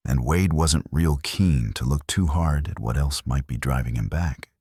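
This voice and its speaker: A man reading scripted western romance narration in a measured, dramatic storytelling manner, with a gravelly voice. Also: extremely low pitch, a little mellow, no room sound, studio quality. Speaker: audiobook narrator.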